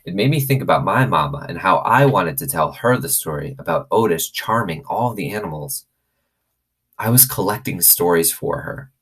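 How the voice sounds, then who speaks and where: A man reading a children's novel aloud as an expressive storyteller. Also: deep voice, normal speed, husky.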